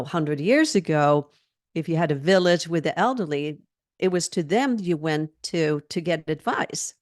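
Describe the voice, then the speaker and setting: An older woman in her sixties speaks in a lower voice over a video call, close and dry with no room around her. She answers in an earnest, reflective way and laughs easily between thoughts. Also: a little mellow, no room sound. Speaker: fitness trainer and guest. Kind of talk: conversational interview answers.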